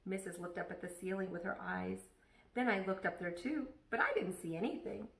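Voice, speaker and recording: Woman, expressive children's storybook narration, bright clear-cut tone, echoey room. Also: medium pitch, brisk, distant mic. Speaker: teacher reading aloud.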